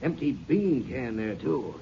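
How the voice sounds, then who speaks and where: A man's voice: medium pitch, very animated, a little slow. An American man playing a doubtful, plain-spoken small-town sheriff with a terse, folksy manner in a 1950s radio western. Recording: very noisy, muffled old radio recording.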